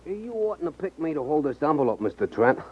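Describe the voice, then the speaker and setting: An actor playing a shifty, placating small-time underworld go-between, slangy and defensive, in a 1950s radio drama. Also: male voice, on the high side, very animated, a little fast, breathy edge, no room sound. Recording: muffled 1950s radio recording.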